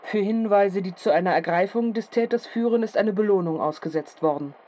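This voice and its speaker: An actress in a radio play as a sensation-hungry news reporter, announcing in a formal, measured, dramatic manner. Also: female voice, mid-range voice, warm tone, lightly acted. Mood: formal, grave.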